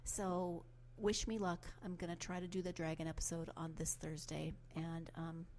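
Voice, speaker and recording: A woman podcast host wrapping up her show, enthusiastic and chatty, a bit hoarse from a cold. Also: on the low side, flat delivery, normal speed, a little hiss or hum. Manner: warm-hearted, enthusiastic, chatty asides. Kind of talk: solo podcast outro.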